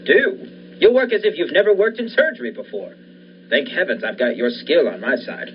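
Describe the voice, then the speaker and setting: A man's voice: a little high, very animated, normal speed. A young man playing an obsessed, commanding scientist and surgeon in the style of a 1940s radio thriller. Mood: urgent, commanding. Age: young adult. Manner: commanding, insistent. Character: obsessed scientist surgeon bent on reviving his dead wife. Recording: dull lo-fi audio, faint noise.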